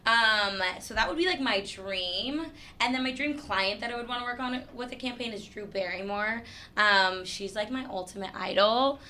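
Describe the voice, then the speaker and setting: A woman's voice: fairly high pitch, moderate pace, bright, forceful tone. A woman in her twenties from New Hampshire, a guest chatting casually on a podcast, with lots of "like" fillers. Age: 20s. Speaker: guest, creative director.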